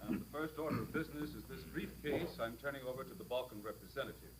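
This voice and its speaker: A man's voice: medium pitch, quick pace, background noise, natural voice. An actor playing a formal, easily flustered male official in a 1950s radio drama. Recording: vintage radio recording, crowded background.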